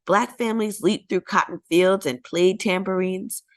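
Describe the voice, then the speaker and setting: A woman's voice: mid-range voice, fairly even intonation, normal speed, dry recording, studio quality. A woman narrating a children's storybook in a dramatic, emphatic read-aloud style, drawing out key words.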